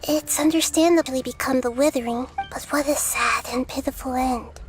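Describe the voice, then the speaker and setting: A thoughtful young scholar voiced by a woman explains things with measured, articulate care. The voice is compassionate, acted for a video game, over faint music. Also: high-pitched, fairly even intonation, natural voice. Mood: pensive, sorrowful. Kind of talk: video game dialogue acting.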